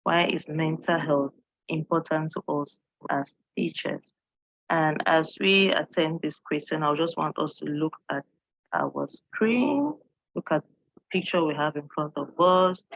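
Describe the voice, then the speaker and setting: Woman from Cameroon, low voice, earnest, lots of uh and um, dull dry video-call sound. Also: unhurried, vocal fry, no echo. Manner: earnest, asks the audience questions, many fillers. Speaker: English teacher presenting to a mentoring group. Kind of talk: online presentation.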